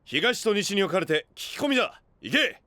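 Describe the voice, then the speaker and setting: Gruff, animated young squad boss. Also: male voice, high-pitched, normal speed, heavily acted. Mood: commanding. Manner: rough, curt, bossy. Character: gruff, commanding young male gang-style squad boss. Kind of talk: anime-style voice drama acting.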